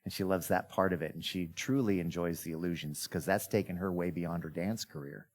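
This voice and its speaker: A man's voice: sits low, a little fast. A middle-aged man, a magician guest, casually telling his life story in an interview and acting out bits of dialogue. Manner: animated storyteller who acts out quoted dialogue.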